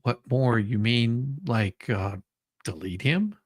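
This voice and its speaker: A man, co-hosting an online show with halting, repetitive commentary and read-aloud questions. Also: medium pitch, slightly rough, no room sound, studio quality. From Southern United States. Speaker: online show co-host reading a deposition. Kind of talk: casual commentary and dramatic reading.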